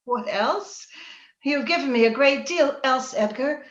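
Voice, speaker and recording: A woman playing a passionate, troubled young gentlewoman in a radio drama, with an emotional, dramatic delivery. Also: sits high, unhurried. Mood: earnest, haunted. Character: Cathy, passionate, troubled young gentlewoman, now Edgar's wife. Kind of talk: old-time radio drama acting. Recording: roomy, dull video-call audio.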